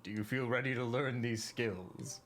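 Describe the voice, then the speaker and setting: Guy doing a solemn old sword master ghost, slow archaic talk, stiff and grand. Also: medium pitch, a bit bright. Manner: grave, archaic, slow formal speech. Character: solemn ancient male warrior spirit mentor. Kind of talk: voicing a game character while streaming.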